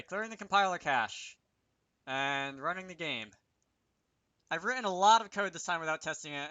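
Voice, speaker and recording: A man walks through code in a casual tutorial, muttering his reasoning aloud and tossing in wry asides. His voice is forward and bright, slightly higher than average. The recording is dry and close, as from a desk microphone. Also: no room sound. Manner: self-talking, wry asides. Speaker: video creator demonstrating game programming.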